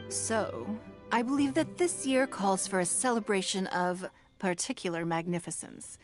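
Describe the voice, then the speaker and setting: Actress as a gracious, dignified female leader for a video game: mid-pitched, measured, formal, over clear background music. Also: lightly acted. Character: poised, gracious noblewoman leader, dignified and composed.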